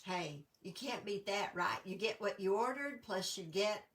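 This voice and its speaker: A middle-aged woman with a stuffy, congested voice, chatting casually to viewers, with lots of ums and throat clearing. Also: medium pitch, expressive, unhurried, fairly bright tone, far from the mic. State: congested from allergies. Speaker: crafting demonstrator. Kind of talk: casual live-stream chat.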